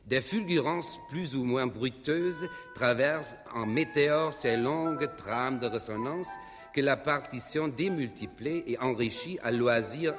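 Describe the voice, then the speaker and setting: An actor playing a solemn, florid classical concert announcer in a radio play, speaking in a formal, high-flown manner. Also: male voice, lightly acted. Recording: long hall reverb, music behind. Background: music.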